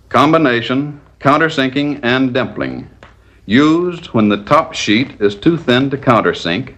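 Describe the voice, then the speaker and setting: A man narrating a scripted 1940s instructional film in a measured, didactic, step-by-step manner. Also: mid-range voice, fairly bright tone.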